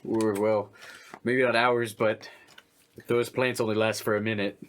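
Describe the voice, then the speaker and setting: A man's voice: lowish voice, level tone, unhurried, a bit bright, a little hiss or hum. A man chatting casually as a player in a tabletop role-playing game.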